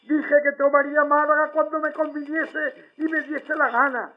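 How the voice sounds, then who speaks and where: An actor playing a boastful, scornful fascist general giving a pompous 1930s radio propaganda speech through an old broadcast filter. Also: male voice, extremely high pitch, level tone, moderate pace, muffled recording. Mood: boastful, defiant. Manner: pompous, taunting.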